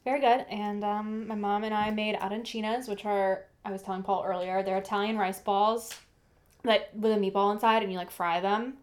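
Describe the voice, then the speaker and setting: A young woman co-hosts a relaxed podcast chat. She rambles along in a friendly way, padding her sentences with "like" and "um.". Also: mid-range voice, normal speed. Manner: chatty, rambling, full of "like" and "um". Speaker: podcast co-host. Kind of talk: casual chat.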